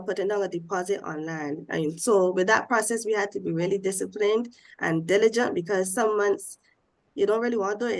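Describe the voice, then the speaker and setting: A woman from Barbados on a discussion panel, explaining step by step in a nasal voice because she has a cold. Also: mid-range voice.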